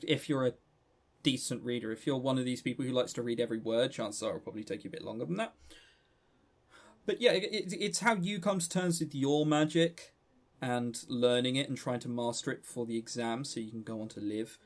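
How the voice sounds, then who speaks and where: A man's voice: mid-range voice, brisk. A man with an English accent co-hosting a podcast, reviewing casually in a rambling way and often stammering over repeated words. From England. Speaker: podcast co-host.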